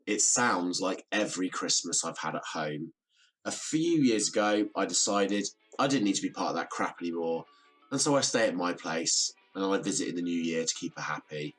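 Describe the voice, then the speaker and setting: Radio drama: downbeat young British man, bitter and guarded, rough-edged low voice, music behind. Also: animated, normal speed, fairly bright tone, heavily acted, rough recording. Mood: reserved, weary. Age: young adult. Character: weary, bitter young man, reserved about family. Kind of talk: audio drama acting.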